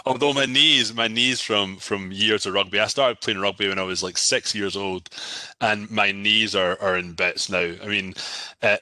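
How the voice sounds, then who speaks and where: A man's voice: on the low side, steady tone, normal speed, sharp tone, no echo. A man from Scotland in his thirties, with a Scottish accent, chatting casually as a podcast guest, often repeating words and laughing easily. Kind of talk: casual interview chat.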